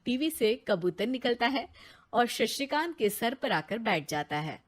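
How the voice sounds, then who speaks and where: A woman's voice: a little high, sing-song, unhurried. A woman reading scripted narration for a cartoon story in a plain, matter-of-fact way.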